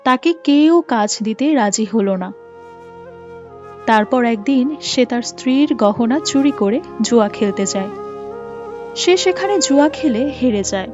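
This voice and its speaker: High-voiced woman narrating a moral story. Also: lively intonation, measured pace, darkish tone. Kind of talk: scripted story narration for a cartoon. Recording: muffled audio with faint music behind.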